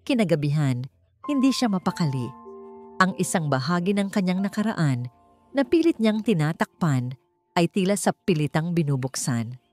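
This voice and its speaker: A woman's voice: lowish voice, very animated, normal speed, a little mellow, faint music behind. A woman narrating a scripted romance story in a dramatic storytelling style.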